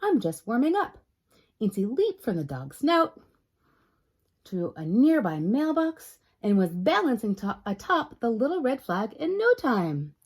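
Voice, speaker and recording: A woman reading a picture book aloud as a storytime librarian, expressive and talking directly to young listeners. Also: on the high side, a little slow, husky. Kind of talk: children's read-aloud narration.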